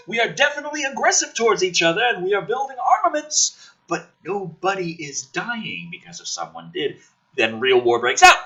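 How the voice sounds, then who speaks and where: A man's voice: extremely high pitch, sharp tone, highs cut off. A man giving ranting commentary as the host of a script review, exasperated, sarcastic and full of swearing. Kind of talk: ranting commentary mixed with dramatic script reading.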